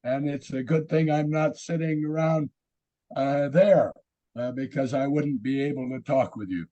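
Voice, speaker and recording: A hoarse man with a raspy voice giving a webinar presentation over a video call, halting with many fillers. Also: mid-range voice, dark tone, muffled recording. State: hoarse from illness.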